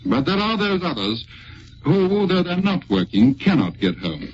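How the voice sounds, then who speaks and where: A British man presenting a scripted 1940s wartime radio broadcast in a measured, formal manner. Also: sits high, sing-song. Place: radio studio. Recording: muffled, noisy 1940s radio recording.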